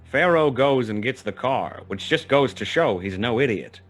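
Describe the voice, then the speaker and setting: An actor playing a wry, laconic private detective who narrates a noir radio play. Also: male voice, mid-range voice, lively intonation, moderate pace, faint music behind, natural voice. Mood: wry, tense narration. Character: hard-boiled male private detective narrating his own story.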